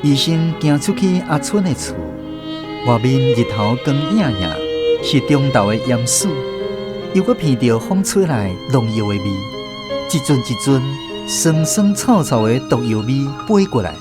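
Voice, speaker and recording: A man from Taiwan narrating a radio drama in a calm, measured storytelling voice. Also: medium pitch. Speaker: host and narrator. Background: soft violin music.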